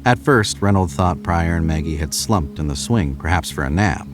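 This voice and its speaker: A man's voice: deep voice, expressive, moderate pace, music in the background. A man narrating scripted horror fiction in a dramatic storytelling style.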